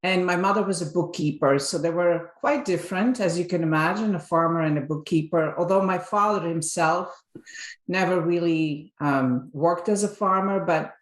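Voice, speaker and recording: A woman's voice: lowish voice, normal speed, reverberant. A middle-aged woman from Belgium, a guest on an interview podcast, telling her story in casual chat with many fillers and restarts. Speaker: guest, marketing executive.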